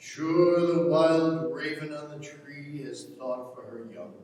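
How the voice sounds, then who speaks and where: A man's voice: fairly high pitch, steady tone, darkish tone. An actor playing a stern, curt old farmer and father in a staged play reading. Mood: cold, stern. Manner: deliberate, curt, authoritative. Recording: echoey hall, distant microphone.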